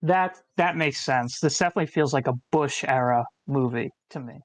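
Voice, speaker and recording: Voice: medium pitch, moderate pace, no room sound. Dry, close sound. Informal discussion participant with a mid-range voice, musing aloud in a loose way. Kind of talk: casual chat.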